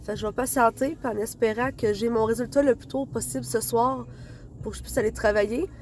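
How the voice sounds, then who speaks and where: A woman's voice: a little high, steady tone, fairly quick, slight background noise. A young woman with a Quebec French accent, chatting casually to her phone camera while stuffed up from a cold, with lots of fillers. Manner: chatty, rambling, many fillers. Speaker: vlogger.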